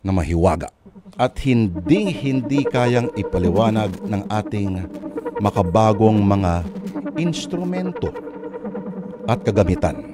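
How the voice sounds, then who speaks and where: A man's voice: fairly low pitch, sing-song, slow, long pauses. A man hosting and narrating a radio drama in a theatrical, suspenseful storytelling style. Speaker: host and narrator. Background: music.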